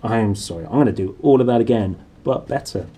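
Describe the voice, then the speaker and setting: Animated, low-voiced man reading a story. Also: fast, husky. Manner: theatrical, playful, self-correcting. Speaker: storybook reader. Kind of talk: dramatic, expressive storybook narration.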